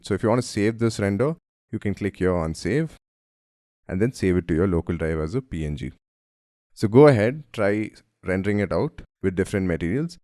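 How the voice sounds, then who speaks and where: Deep-voiced man teaching software. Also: dry recording, studio quality. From India. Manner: friendly, addresses viewers as guys. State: has a cold, voice deeper than usual. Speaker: software instructor. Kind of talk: screen-recorded tutorial narration.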